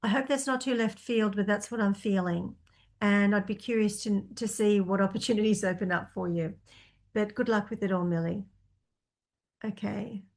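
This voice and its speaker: A woman reads out questions from viewers and answers them as a psychic. She talks tentatively and thinks aloud, with frequent ums and half-finished starts. Her voice sits at an average pitch. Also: fairly even intonation, moderate pace, darkish tone. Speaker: psychic reader.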